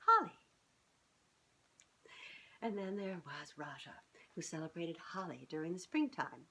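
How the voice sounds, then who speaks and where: Expressive low-voiced woman reading a storybook. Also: a little slow, a little hiss or hum. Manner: expressive, informal, often breaking off to comment. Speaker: children's author reading aloud. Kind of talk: storybook read-aloud with chatty asides to children. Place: living room sofa, indoors. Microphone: camera a short distance away.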